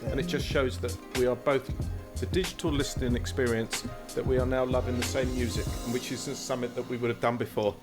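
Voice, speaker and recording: A man speaking as a panel guest, measured and slightly halting. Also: medium pitch, level tone, music in the background. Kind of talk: casual remarks.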